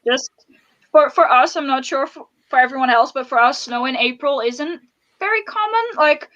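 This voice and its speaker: A woman with a Dutch accent in a casual group chat, telling things in an emphatic, animated way. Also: high-pitched, moderate pace, dull audio. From Netherlands. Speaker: book channel co-host.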